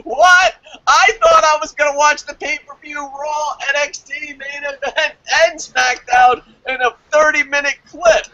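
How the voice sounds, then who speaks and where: A man's voice: very high voice, fairly even intonation, unhurried, sharp tone, no room sound, low-quality recording. A man co-hosting a wrestling review show in casual, opinionated chat, speaking bluntly and with plenty of swearing. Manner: profane, blunt.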